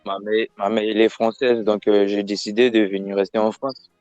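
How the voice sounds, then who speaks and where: Low-voiced man on a muffled call. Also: level tone, fairly quick, darkish tone, soft background music. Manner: repetitive, brief replies. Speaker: phone scammer. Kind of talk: phone call.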